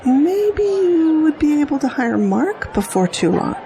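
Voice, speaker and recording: Woman playing high, doting, fussy mom. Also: very animated, unhurried, noisy background, exaggerated voice. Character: doting, fussy middle-aged mother of a graduate. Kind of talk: audio drama acting.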